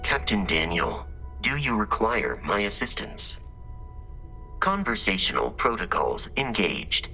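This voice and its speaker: Game robot companion: low, bright, deadpan, formal, polite and exact, dryly funny. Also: male voice, faint music behind, full character voice. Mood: deadpan, courteous. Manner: formal, deadpan, precise, measured delivery. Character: polite, literal-minded male exploration robot companion, dryly humorous. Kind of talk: scripted video game character dialogue.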